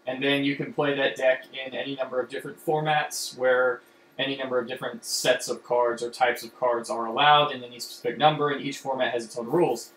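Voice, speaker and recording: A man's voice: medium pitch, level tone, brisk, a bit bright. A man streaming from home, casually explaining a card game and rambling with many fillers and restarts. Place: room at home. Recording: echoey room with faint background hum.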